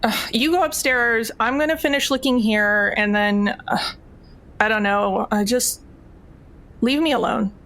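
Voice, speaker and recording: A woman's voice: on the high side, measured pace, fairly bright tone. Woman acting a snippy, spoiled rich daughter. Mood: haughty, dismissive.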